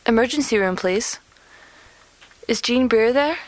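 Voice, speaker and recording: A woman's voice: a little high, a little slow, natural voice. An actress playing an anxious, earnest teenage girl in an audio drama. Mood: anxious, musing.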